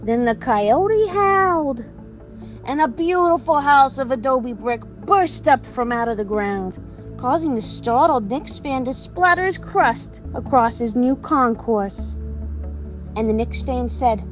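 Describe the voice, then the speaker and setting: An actress plays an exasperated woman spinning a mocking fable in an audio drama. Her voice is high and swings widely as she narrates theatrically. Music plays underneath. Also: slow, poor audio. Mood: dramatic storytelling. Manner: animated, theatrical storytelling. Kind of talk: audio drama dialogue and storytelling.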